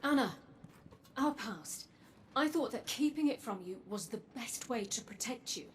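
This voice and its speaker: Woman acting as a grave guarded android lady from a dark future, video game cutscene, measured n earnest, noisy ambience behind. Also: a little high, animated, natural voice. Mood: earnest, defensive.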